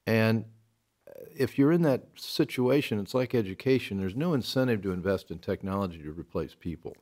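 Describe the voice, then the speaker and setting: Male interview host, notably low voice, conversational, halting delivery as he thinks aloud. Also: lively intonation, a little mellow. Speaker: talk show host.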